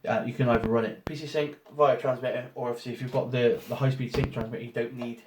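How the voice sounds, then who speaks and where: A man with a hoarse voice from a cold, casually talking through an unboxing with lots of ums and restarts. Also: mid-range voice, flat delivery, fast talker. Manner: rambling, many ums and restarts. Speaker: photographer doing an unboxing. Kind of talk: casual product walkthrough. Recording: roomy echo, faint noise.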